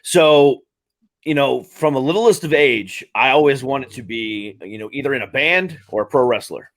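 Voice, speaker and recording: A man's voice: mid-range voice, moderate pace. A man in his late thirties hosting a podcast, talking casually in a rambling way with many fillers and restarts. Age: 30s. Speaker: podcast host. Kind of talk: casual interview chat.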